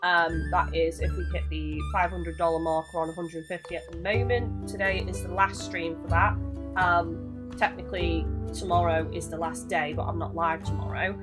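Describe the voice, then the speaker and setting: Chatty young girl live streaming a game, bit of a lower voice, kinda worn out voice, faint music in the back. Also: fairly even intonation, normal speed. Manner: chatty, rambling, chats with viewers. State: losing her voice. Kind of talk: live gameplay commentary.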